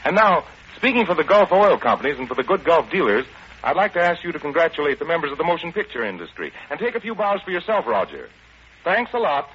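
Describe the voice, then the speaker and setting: A man's voice: a little high, very animated, fast talker, slightly rough. An American man with a polished, theatrical, explanatory manner, presenting and acting in 1940s radio style. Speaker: radio show host and detective lead. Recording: muffled, hissy old radio broadcast.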